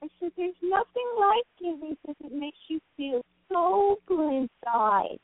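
A woman's voice: very high voice, expressive, measured pace. A woman voicing a sweet, childlike talking cat on a children's bedtime radio show, speaking earnestly and a little hesitantly. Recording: thin phone-like line, roomy echo.

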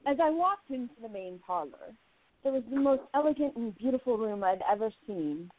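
A woman's voice: on the high side, expressive, a little fast. A woman narrating and playing a relishing, gossipy society columnist in an amateur radio play. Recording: phone-like narrow sound.

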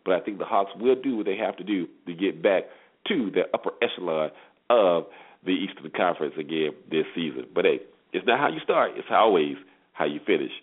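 A man hosting a sports show, giving conversational commentary and recaps with pauses and repeated words. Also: deep voice, lively intonation. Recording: tinny narrow-band phone-like sound.

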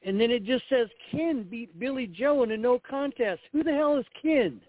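A man's voice: very high voice, expressive, moderate pace, no echo. A man co-hosting a casual talk show, chatty and easygoing as he tells stories and reads results. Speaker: co-host. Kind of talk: casual chat. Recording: dry tinny phone line.

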